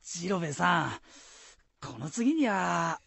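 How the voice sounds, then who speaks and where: Bossy village lady, husky, higher voice that jumps around, radio folktale. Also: big pitch swings, takes it slowly, fairly bright tone, heavily acted. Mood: hearty. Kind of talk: radio drama acting.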